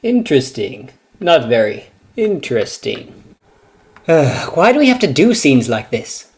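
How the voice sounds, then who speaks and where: A man performing a scripted comedy parody in a sarcastic, deadpan manner. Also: on the high side, slow pace. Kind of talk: scripted comedy sketch voices and commentary.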